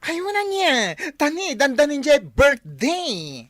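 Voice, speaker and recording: An excitable, gossipy village woman acted by a woman for a radio comedy drama. Her high voice swoops widely as she gushes at each rumor, taking her time, in a close, dry sound. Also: takes it slowly, dry recording, full character voice. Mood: gossipy, eager. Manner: exclamatory, gushing.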